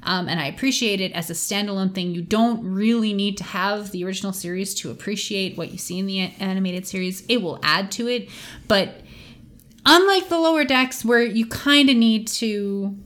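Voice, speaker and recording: A woman's voice: mid-range voice, moderate pace, a bit bright. A woman co-hosting a podcast in a casual, opinionated chat, with an emphatic, playfully argumentative manner. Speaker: podcast co-host.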